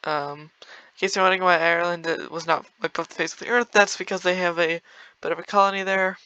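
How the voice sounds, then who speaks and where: Bright, crisp-voiced young man rambling. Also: high voice, fairly even intonation, fast talker, no room sound, muffled recording. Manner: rambling, filler-heavy, laughs often. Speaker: gameplay video creator. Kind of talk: casual gameplay commentary.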